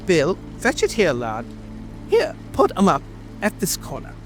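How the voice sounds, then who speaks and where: A man's voice: high-pitched, wildly swooping pitch, a little slow, full character voice. A man voicing an irritable, bossy rabbit master in a dramatic audiobook, snapping out angry orders. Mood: brisk, commanding. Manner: angry, imperious, exclamatory. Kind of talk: dramatic audiobook character acting. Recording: music and rain ambience behind. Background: music, rain.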